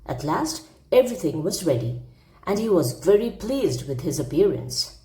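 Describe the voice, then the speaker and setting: A woman's voice: fairly low pitch, very animated, moderate pace, dark tone, reverberant. A woman narrating a classic humorous story aloud as a storyteller, with theatrical, emphatic phrasing.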